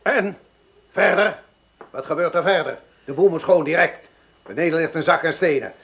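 Radio play: a defiant farmer, gravelly, slightly high. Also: male voice, normal speed, a bit bright, muffled recording. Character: boastful older male farmer, claims no fear. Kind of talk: Dutch radio drama acting.

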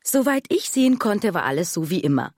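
An actress playing a wry, observant woman who recounts childhood memories in a husky voice, as radio drama narration. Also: medium pitch, big pitch swings, a little mellow, no room sound. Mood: measured, observant. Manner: measured, dry, wry storytelling. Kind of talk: scripted first-person radio drama narration.

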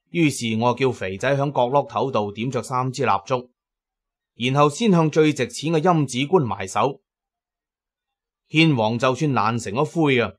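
A man giving dramatic first-person narration as the narrator of an audio drama. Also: mid-range voice.

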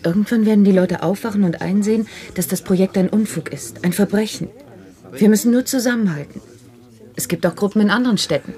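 An actress playing a determined, idealistic adult daughter in a 1970s radio drama. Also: female voice, mid-range voice, a little fast, warm tone, natural voice. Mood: earnest, urgent. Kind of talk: 1970s German radio play acting.

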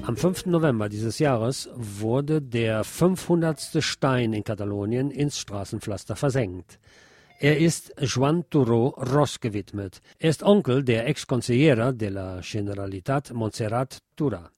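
A man's voice: medium pitch, animated, a little slow, darkish tone, soft background music. A man presenting on radio, reading scripted local news and commentary.

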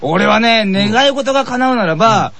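A man with a very high, bright voice trades comic retorts as the junior radio co-host. He plays the exasperated straight man, his pitch leaping around as he complains and often shouts. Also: expressive, normal speed, gravelly, dry recording. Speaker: voice actor and radio comedy co-host, the junior partner.

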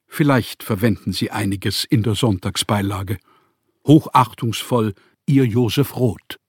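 Joseph Roth, bitter writer, slightly husky. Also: male voice, on the low side, measured pace, no room sound, clean studio sound. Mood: pleading, formal. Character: Joseph Roth, proud, bitter, needy young male writer. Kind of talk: German radio play, literary monologue and dialogue.

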